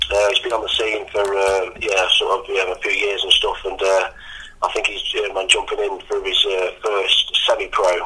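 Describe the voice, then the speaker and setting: A man, an MMA coach answering interview questions, a bit croaky after training and halting with many ums. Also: sits low, flat delivery, pushed and bright, low-quality recording. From England. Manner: hesitant, full of ums and restarts.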